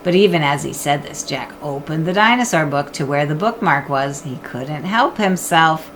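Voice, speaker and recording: A woman narrating a children's book in an expressive storytelling style. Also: low-pitched, normal speed, slight background noise. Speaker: audiobook reader.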